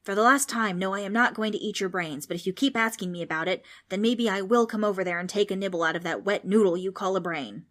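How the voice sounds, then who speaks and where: A woman's voice: medium pitch, quick pace, few pauses, lightly acted. A cantankerous old ghost, acted out by a woman, scolds and grumbles in old-fashioned insults. He rambles and loses his thread, like an exasperated schoolteacher in a children's storybook. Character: cranky old male ghost, scolding and absent-minded. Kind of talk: children's audiobook character voice.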